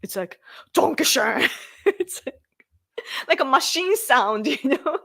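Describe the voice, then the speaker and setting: Slow-talking Japanese woman livestreamer. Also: sits high, sing-song, vocal fry, sharp tone, no echo, clean studio sound. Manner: rambling, laughs often, thanks viewers repeatedly. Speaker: livestream host. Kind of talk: casual livestream chat reading viewer comments.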